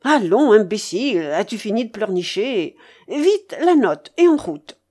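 A woman voicing an imperious, quick-tempered old grandmother in an audiobook, blunt and scolding. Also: fairly high pitch, big pitch swings, a little mellow. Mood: bossy, agitated. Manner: commanding, exclaiming, scolding. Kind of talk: audiobook character voice.

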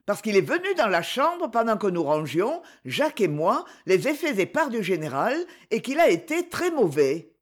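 A woman's voice: medium pitch, sing-song, normal speed. A woman reading aloud and voicing a motherly middle-aged woman who explains her worries. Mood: concerned.

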